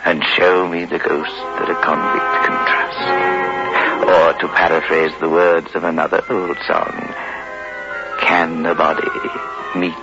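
Vintage radio horror host, macabre ghoul, low gravelly voice, hugely swooping pitch, sardonic, drawn-out. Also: male voice, very animated, heavily acted. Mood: mock mournful. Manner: slow, theatrical, sardonic drawn-out delivery. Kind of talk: 1960s radio horror hosting. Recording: dull vintage radio recording under loud music.

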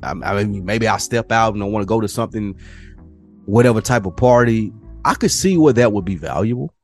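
A man's voice: fairly quick, soft background music. A young man co-hosting a podcast, telling stories casually and animatedly. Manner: animated storyteller, mimics people in higher voices. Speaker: podcast co-host. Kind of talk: casual chat.

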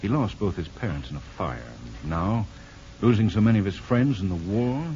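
An actor in a 1950s radio drama playing a calm, kindly hospital doctor with a measured, gently probing manner. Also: male voice, lowish voice, lightly acted. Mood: calm, explanatory. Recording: muffled, noisy old radio broadcast.

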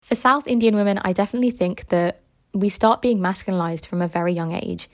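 A woman's voice: mid-range voice, fairly quick. Young woman hosting a podcast. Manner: earnest and personal, reading from a script. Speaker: podcast host. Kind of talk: scripted essay-like narration.

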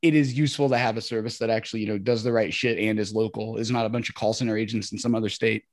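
A man co-hosting a casual podcast with a raspy, fry-heavy voice, swearing freely and clearing his throat. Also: lowish voice, steady tone, fast talker, no room sound, studio quality. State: high on cannabis. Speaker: podcast co-host. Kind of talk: casual chat.

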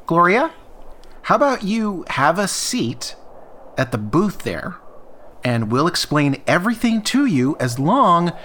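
A woman's voice: on the low side, very animated, slow pace, a bit bright, a little hiss or hum, full character voice. A woman playing an exasperated, brusque, take-charge member of a diner crew in a comedy audio drama. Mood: managing. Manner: brusque, demanding.

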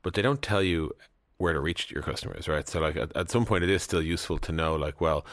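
A man's voice: very deep voice, brisk, fairly bright tone, no echo, clean studio sound. A man hosting a podcast interview, asking questions casually and leaning heavily on "like" and "you know.".

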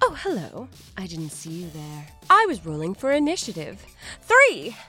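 A woman performing a comic scripted promo for a podcast, playful and mock-frazzled with sharp comic timing. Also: on the low side, wildly swooping pitch, moderate pace, faint music behind.

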